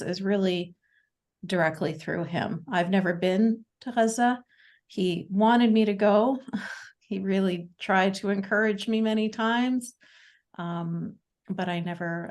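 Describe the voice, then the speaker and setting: A Canadian woman in her forties, a guest speaking in a casual interview, talking haltingly with many fillers and restarts. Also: medium pitch. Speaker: podcast guest.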